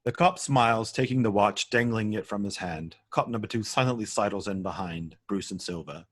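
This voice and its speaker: A man narrates script directions at a table read. His voice is husky and fairly low. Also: normal speed, dry recording, clean studio sound.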